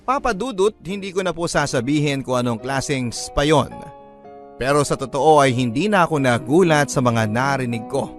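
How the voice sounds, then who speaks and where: A man's voice: mid-range voice, expressive, moderate pace, faint music behind. A man reading a scripted first-person story as a radio-style narrator.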